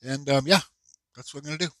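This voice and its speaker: Stuffy-nosed guy walking you thru a carving project, kinda high, bright voice, dry workshop sound. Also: quick pace, no room sound. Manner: rambling, friendly, with many fillers and restarts. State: stuffed up, congested. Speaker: woodcarving instructor. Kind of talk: casual how-to narration. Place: home workshop bench.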